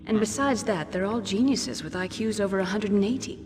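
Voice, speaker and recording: A woman's voice: medium pitch, fairly quick, over music, lightly acted. A woman playing a clever, composed genetic scientist in a video game, explaining things precisely and matter-of-factly. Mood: composed, explanatory. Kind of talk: video game cutscene voice acting. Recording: reverberant hall, loud background noise.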